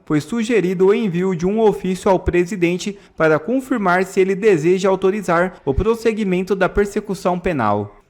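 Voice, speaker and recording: High-voiced man reading news. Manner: steady, formal news reading with indignant asides. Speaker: news channel host. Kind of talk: read news commentary.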